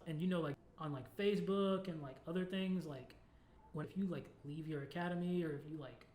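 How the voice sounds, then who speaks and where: Higher-voiced American man in an echoey room. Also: brisk, darkish tone. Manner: informal, peppers speech with "like". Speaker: podcast co-host. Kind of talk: casual podcast conversation. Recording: echoey room with faint background noise.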